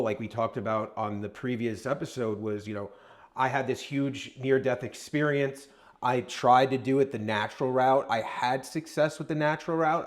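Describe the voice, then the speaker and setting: A man with an average-pitched voice talks as the co-host of a podcast. His delivery is informal and conversational, like someone talking across a table to a friend. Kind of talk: casual conversational podcast talk.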